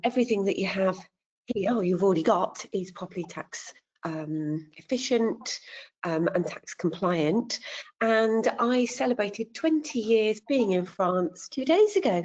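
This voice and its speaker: A woman financial advisor introducing herself in a webinar, pausing often with ums. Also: medium pitch, darkish tone. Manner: hesitant, many ums. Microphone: video call. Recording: dull lo-fi call audio.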